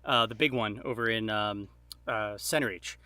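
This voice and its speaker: Male guest, enthusiastic casual talker, rapid and halting, bright tone, dry sound. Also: on the low side, clean tone, dry recording. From Long Island, New York, USA. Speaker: podcast guest, audio drama creator. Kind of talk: casual chat, reminiscing. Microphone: internet call.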